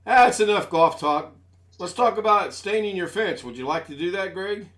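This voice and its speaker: A man's voice: high voice, lively intonation, normal speed, a bit bright. A man in his sixties hosting a casual live stream from home on a desk microphone, chatting in a rambling, folksy, self-deprecating way. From United States. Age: 60s. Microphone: desk USB microphone.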